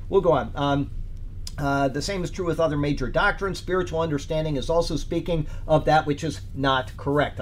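Rambling male Bible teacher, faint background hum. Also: on the high side. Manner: rambling, conversational, many fillers and restarts. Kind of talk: casual Bible study talk.

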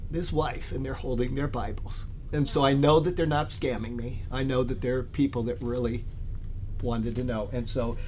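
Man, bible teacher, rambling casual talk, lots of ums and restarts, average pitch, faint hum behind. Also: a little mellow. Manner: rambling, conversational, many fillers and restarts.

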